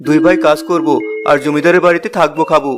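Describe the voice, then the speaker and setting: Eldest brother, male actor: high voice, responsible, emphatic, fairy-tale cartoon with music behind. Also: fairly even intonation, fast, lightly acted. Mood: hopeful. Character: earnest, responsible eldest brother, young village man.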